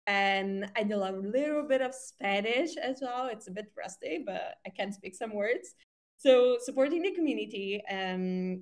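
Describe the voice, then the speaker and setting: Woman. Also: mid-range voice. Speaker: community coordinator. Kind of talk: prepared self-introduction on a group call. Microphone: online voice call.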